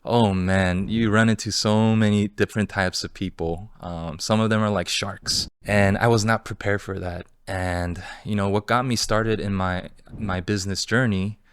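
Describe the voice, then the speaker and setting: A man in his thirties from Los Angeles, a guest entrepreneur telling his story in a relaxed, candid way with many fillers. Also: lowish voice, normal speed, few pauses, smooth. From Los Angeles, California. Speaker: guest, entrepreneur and fund manager. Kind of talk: casual interview storytelling.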